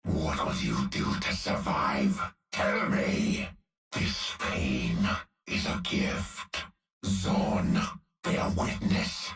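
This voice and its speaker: An actor voicing a cruel, menacing male cyborg executioner, with a deep gravelly voice muffled as if through a gas mask, delivered slowly and subdued. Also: expressive, fairly bright tone, full character voice. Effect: muffled mask filter. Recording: echoey game audio played back from a distance.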